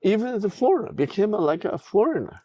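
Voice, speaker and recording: A middle-aged man with a Tibetan accent, whose first language is Tibetan, speaks as a podcast guest, answering haltingly with many restarts and fillers. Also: sits high, very animated, mellow, slightly rough, no room sound. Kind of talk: interview answers.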